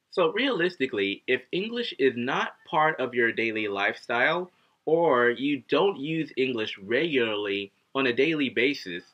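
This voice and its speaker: A man in his thirties teaching English to camera at home, animated and acting out little scenes as he explains. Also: medium pitch. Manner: animated, acts out students and himself, gestures a lot. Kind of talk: casual video lesson to camera. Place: living room at home, indoors. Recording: dull lo-fi webcam audio.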